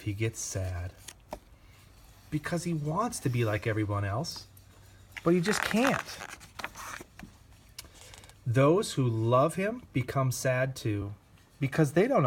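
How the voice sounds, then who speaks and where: Slow, expressive man reading a storybook. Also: medium pitch, a little hiss or hum. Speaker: reader. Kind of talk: storybook read-aloud.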